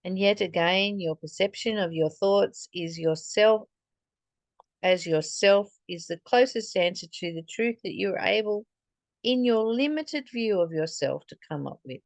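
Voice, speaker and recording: Female spiritual teacher, unhurried and earnest, reflective reading with commentary, close dry sound. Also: mid-range voice, dry recording. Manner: deliberate, earnest, posing rhetorical questions to the listener.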